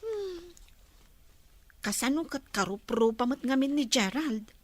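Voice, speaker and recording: A woman's voice: high voice, sing-song, unhurried, full character voice. An actress playing a frank, teasing young woman, a confidante, in a radio drama. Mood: chiding, curious. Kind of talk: Ilocano radio drama acting.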